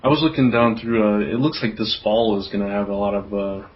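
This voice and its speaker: Lo-fi, lower-pitched male podcast host. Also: brisk. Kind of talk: casual group chat. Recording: dull, lo-fi podcast audio.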